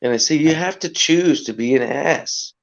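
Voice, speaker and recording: A man with a raspy voice hosting a casual livestream chat, rambling earnestly about energy, respect and nature. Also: on the high side, moderate pace, highs cut off. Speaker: livestream host.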